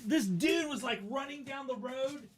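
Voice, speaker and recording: A middle-aged American man casually telling a comic story in a rambling, profane, animated way. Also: very high-pitched, normal speed, breathy edge. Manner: profane, animated, acts out his remarks. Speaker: storyteller, host.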